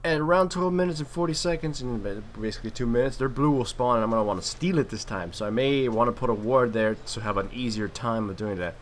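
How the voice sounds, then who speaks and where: A man's voice: mid-range voice, fast talker, hardly any pauses. A man giving casual, wry game commentary with some mild swearing and trash talk. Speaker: gaming video commentator and player. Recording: clearly noisy home recording.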